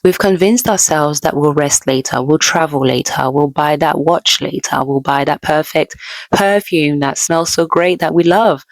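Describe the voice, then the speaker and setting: A woman's voice: low-pitched, dry recording. A young woman originally from the Democratic Republic of Congo, seated indoors and speaking earnestly to camera with encouraging advice for women. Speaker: vlogger, landscape architect. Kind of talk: motivational talk to camera.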